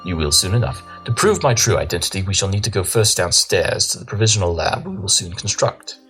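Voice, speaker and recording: A man's voice: low-pitched, animated, a little fast, fairly bright tone, faint music behind. A man playing an arrogant, brilliant cartoon pony detective, speaking in a pompous, wordy and precise way. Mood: brisk, lecturing. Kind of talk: scripted audio drama acting.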